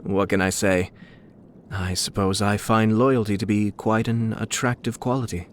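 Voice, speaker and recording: A man voicing a refined, suave young nobleman and clan head in a video game, coolly shrewd, with polished, measured, formal diction. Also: fairly low pitch, little pitch movement, a little hiss or hum, close to an everyday voice. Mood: wry, relaxed. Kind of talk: scripted video game character dialogue. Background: background music.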